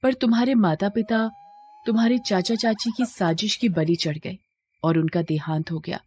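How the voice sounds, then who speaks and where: A woman's voice: medium pitch, normal speed, a little mellow, slightly rough, close to an everyday voice. A woman voicing a shape-shifting serpent in a moral story, solemn, earnest and grateful.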